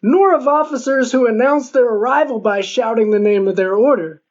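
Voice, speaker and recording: A man's voice: very high voice, normal speed, muffled recording. A man voicing a huge, eccentric, grandiose self-styled commander, pompous and unruffled, in an audiobook. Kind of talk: audiobook character dialogue.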